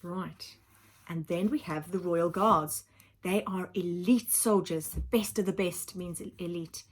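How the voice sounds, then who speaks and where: A woman reading a children's storybook aloud like a teacher, with dramatic emphasis and simple explanatory asides. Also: lowish voice.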